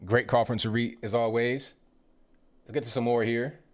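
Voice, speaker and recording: A man's voice: mid-range voice, steady tone, moderate pace. A man hosting a call-in show, thanking callers and taking the next line in an easygoing way. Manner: easygoing, brief replies to callers. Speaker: host. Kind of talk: call-in radio talk.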